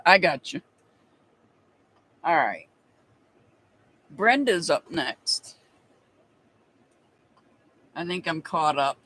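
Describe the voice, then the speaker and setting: A man with a noticeably high voice and a slight Southern accent talks casually to a live stream audience as he hosts tarot readings. He chats loosely, laughs easily and greets viewers one by one by name. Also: fairly bright tone, poor audio. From United States. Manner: chatty, laughs often, answers viewers by name.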